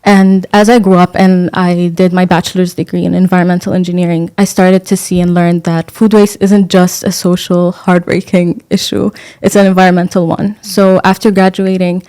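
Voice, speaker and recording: A woman's voice: lowish voice, flat delivery, normal speed, few pauses, dark tone, clean tone. A young woman from Sudan, an entrepreneur on a panel, telling her story in a composed, fluent way.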